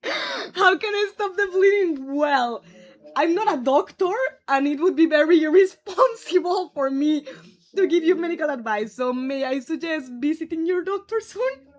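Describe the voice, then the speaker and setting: A woman's voice: very high-pitched, expressive, normal speed. A young woman from Mexico with a Mexican Spanish accent, chatting casually on a live stream and answering viewers' comments. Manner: friendly, answers viewers' questions aloud. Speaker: livestreamer.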